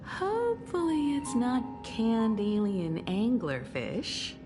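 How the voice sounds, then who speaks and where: A woman playing a poised, devoted mature woman in anime game style, calm and reassuring, with a measured, gently teasing manner. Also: on the high side, music in the background, heavily acted.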